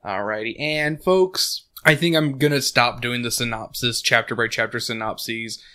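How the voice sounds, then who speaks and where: A slightly hoarse man with a bright, edgy tone hosts a casual book podcast. He rambles, leans on fillers and laughs often, close on a desk microphone at home. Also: medium pitch, moderate pace. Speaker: podcast host. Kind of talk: casual chat and book recap. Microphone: desk condenser mic.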